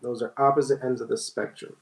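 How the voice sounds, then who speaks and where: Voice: medium pitch, flat delivery, brisk. A podcast panelist explaining things in casual discussion, recorded at some distance in a room. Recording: roomy, distant from the mic.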